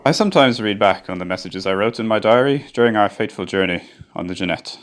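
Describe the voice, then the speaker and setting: An actor narrating a radio play as a reflective ship's engineer, telling his memories in a measured, diary-like way. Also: on the low side, lively intonation, close to an everyday voice. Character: reflective male ship's engineer recalling a doomed Arctic voyage.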